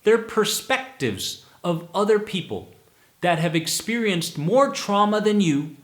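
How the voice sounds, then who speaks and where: A man coaching listeners through anxiety speaks slowly and deliberately, leaning hard on repeated words. His fairly high voice rises and falls a great deal as he presses each point. The sound carries the echo of a reverberant room. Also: big pitch swings. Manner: emphatic, repeats words for stress, slow deliberate delivery. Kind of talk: motivational talk to listeners.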